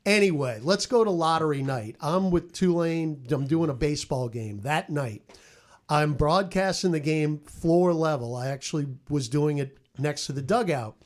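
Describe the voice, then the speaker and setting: A man's voice: on the high side, normal speed, darkish tone. An American man, a sports broadcaster, telling stories on a podcast roundtable. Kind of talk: animated storytelling on a podcast roundtable.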